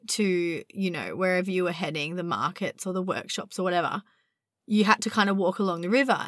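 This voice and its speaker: A young woman hosting a spiritual podcast from inside her van at night, slightly husky as her voice recovers, talking in a dreamy, meandering way. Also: mid-range voice, no echo, studio quality. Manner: dreamy, meandering, drawn-out words. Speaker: podcast host. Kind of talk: casual spiritual podcast monologue.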